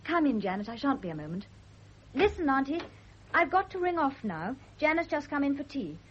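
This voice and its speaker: High, girlish young British wife. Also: sing-song, fairly quick, slight background noise. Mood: chatty, defensive. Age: young adult. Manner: girlish, eager, artless. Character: naive, girlish, sweet-natured young wife. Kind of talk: 1970s radio drama acting. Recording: dull lo-fi old radio recording.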